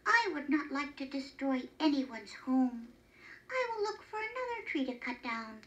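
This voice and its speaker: A woman's voice: sits high, sing-song, a little slow, fairly bright tone, muffled recording, exaggerated voice. A woman playing a plain-spoken, grumbling woodcutter husband with an emphatic manner, in a children's story. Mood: agreeable. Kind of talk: children's storybook character reading.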